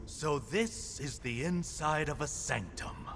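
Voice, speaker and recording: Slow, lilting fantasy godsmith. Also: male voice, on the high side, animated, music in the background. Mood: awed. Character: warm-hearted, wry male godsmith, loyal old friend. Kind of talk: video game voice acting.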